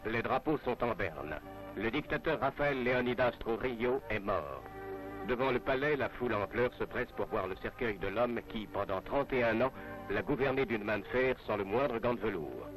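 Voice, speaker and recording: A male narrator reads a 1960s French newsreel script with formal announcer diction. His voice sits at a medium pitch. Music plays clearly behind him, and the sound is that of a vintage film soundtrack. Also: moderate pace, rough recording. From France.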